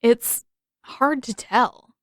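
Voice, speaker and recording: A woman's voice: fairly high pitch, extremely slow, dry recording, studio quality, voice pushed up. A woman voicing an eager, good-natured young boy in a story reading, earnest and a little defensive. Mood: concentrating. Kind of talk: character voice in a fan fiction reading.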